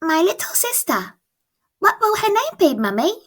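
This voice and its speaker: A woman's voice: very high-pitched, big pitch swings, normal speed, a bit bright, voice pushed up, heavily acted. A woman voicing a curious little girl in a storybook, with a childlike, questioning manner. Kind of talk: storybook character voice.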